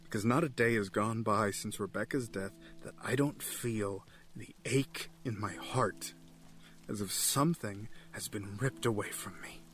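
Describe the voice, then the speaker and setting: An actor playing a bereaved, self-justifying villain in an audio drama, solemn and deliberate. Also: male voice, fairly low pitch, a bit bright. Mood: mournful.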